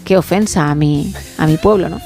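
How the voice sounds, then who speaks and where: A woman's voice: low voice, moderate pace, gravelly, over music. A middle-aged woman with a Spain Spanish accent, hosting a casual late-night radio phone-in in a chatty, playful way. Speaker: radio host. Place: radio studio. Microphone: studio microphone.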